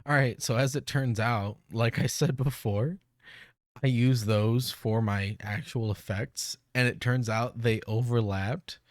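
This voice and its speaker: A young man hosting a casual software tutorial, speaking informally into a close desk microphone. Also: medium pitch, steady tone, a little slow, no room sound. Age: young adult. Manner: informal, conversational. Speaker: tutorial host. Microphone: close desk microphone on boom arm. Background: music.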